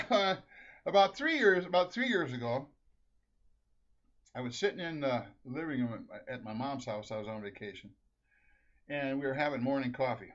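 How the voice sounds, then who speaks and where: A man's voice: mid-range voice, lively intonation, normal speed, pauses often, fairly bright tone. A middle-aged man from Minnesota telling a rambling family anecdote, laughing often and restarting his sentences. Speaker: storyteller. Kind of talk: casual anecdote. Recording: dull lo-fi recording.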